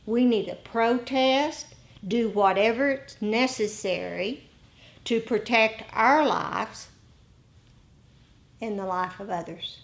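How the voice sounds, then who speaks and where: A woman meditation teacher giving a dharma talk to a group, speaking deliberately in short phrases with rhetorical questions. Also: on the high side, vocal fry.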